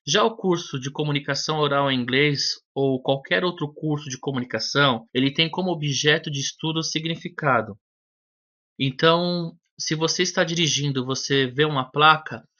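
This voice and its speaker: Bright-voiced man teaching a lesson. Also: on the high side. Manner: methodical, didactic, addresses the viewer directly. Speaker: English teacher. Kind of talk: explanatory lesson to camera. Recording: dull lo-fi video audio.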